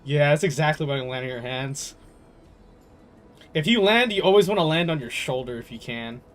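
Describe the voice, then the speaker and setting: A man livestreaming a game and chatting casually with viewers in a jokey, animated storytelling manner. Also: fairly high pitch, moderate pace. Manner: animated storytelling, jokey, swearing, laughs often. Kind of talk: casual chat with viewers while gaming. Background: game music.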